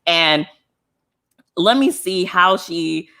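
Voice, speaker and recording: A man from the United States hosting a casual book club discussion, thinking aloud with frequent fillers and restarts and swinging between excitement and thoughtful pauses. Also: high-pitched, gravelly.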